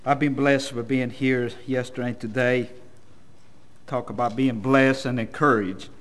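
A man's voice: mid-range voice, steady tone, measured pace. A man from El Salvador with a Salvadoran Spanish accent, preaching a sermon in a plain-spoken, somewhat hesitant and self-deprecating manner.

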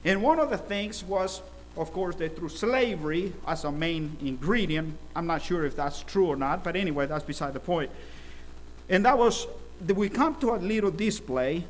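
A middle-aged man from El Salvador preaching a sermon, telling stories with emphatic swells in volume. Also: high voice.

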